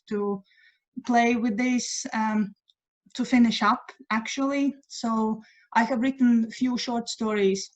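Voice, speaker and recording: A middle-aged Latvian woman whose first language is Latvian, talking casually on a video call from her home at night, pausing often to search for English words. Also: on the high side. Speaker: guest writer sharing news. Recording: dull lo-fi video call audio.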